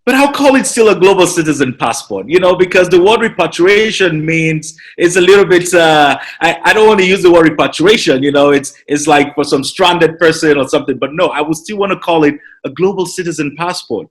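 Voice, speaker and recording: A man's voice: fairly high pitch, lively intonation, brisk, hardly any pauses. Earnest man explaining. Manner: earnest, explanatory, builds to passionate appeals. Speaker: podcast guest, citizenship consultant. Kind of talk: conversational interview.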